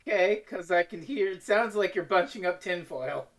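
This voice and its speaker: A woman's voice: on the low side, normal speed. A woman co-hosting a casual review podcast, bantering in a sardonic, playfully theatrical way. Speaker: podcast co-host.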